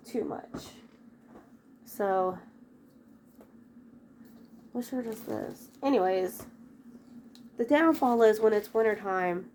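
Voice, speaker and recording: A woman's voice: medium pitch, warm tone, a little hiss or hum. A woman with a head cold chatting casually while she tidies up her bedroom, rambling and breaking off mid-thought. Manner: rambling, chatty, self-interrupting. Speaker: vlogger. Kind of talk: casual chat while tidying. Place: bedroom at home.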